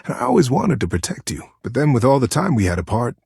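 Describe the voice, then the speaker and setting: A man voicing a devoted, sentimental teddy bear in a video game, talking in a gentle, folksy, earnest way with little stammers. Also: medium pitch, big pitch swings, fast, vocal fry, breathy edge, no room sound, clean studio sound, exaggerated voice. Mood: touched, tender. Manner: folksy, earnest, cozy wording with hesitant stammers. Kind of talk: video game character acting.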